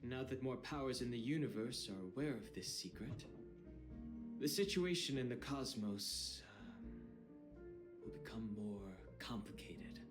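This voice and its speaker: An actor playing a thoughtful, measured man in a space fantasy video game, speaking formally and reflectively. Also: medium pitch, animated, music in the background, lightly acted. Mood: measured, serious. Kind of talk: scripted video game dialogue.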